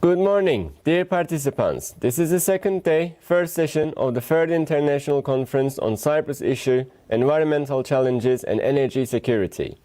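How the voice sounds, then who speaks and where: A man opens a conference session with a formal welcome, reading the list of speakers. His voice is smooth and mellow. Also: on the high side, lively intonation, normal speed, few pauses. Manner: formal, reads out names. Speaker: session chair. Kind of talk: formal announcement.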